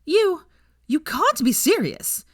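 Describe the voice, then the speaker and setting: A woman's voice: very high voice, sing-song, slow, no echo, studio quality, pitched above the performer's own voice, full character voice. A woman voicing a spiteful, foul-mouthed young witch bully, abrupt and sneering, in a storybook reading. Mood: outraged.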